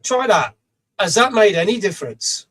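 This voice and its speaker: A middle-aged man with a rough voice, hosting a live stream, chatty and reading out viewers' messages with casual swearing. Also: sits high, big pitch swings, moderate pace, fairly bright tone. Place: small home studio.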